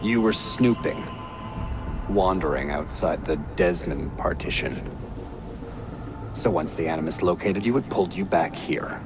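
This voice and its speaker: An actor playing a weary, cryptic guide in a video game, terse and laconic with a gravelly voice. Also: male voice, low-pitched, expressive, measured pace, long pauses, over music. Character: cryptic, weary male guide trapped in a computer. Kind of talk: low-key video game dialogue.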